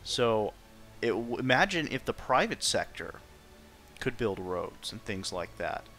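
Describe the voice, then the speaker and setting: A man's voice: medium pitch, sing-song, measured pace, fairly bright tone, faint music behind. A man giving his opinions in a casual, conversational debate. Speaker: participant in a group discussion.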